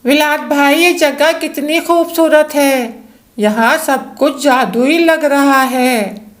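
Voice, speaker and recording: A storyteller voicing an eager, excitable little boy with a high, childlike voice. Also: takes it slowly. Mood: amazed.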